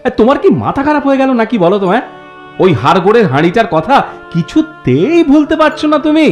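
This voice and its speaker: A man voicing an excitable, blustering village husband in a cartoon, exclamatory and easily rattled. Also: very high voice, fast, soft background music. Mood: dismissive. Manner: blustering, exclamatory, stammering when scared. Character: excitable, dismissive village husband who turns cowardly. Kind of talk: cartoon voice acting.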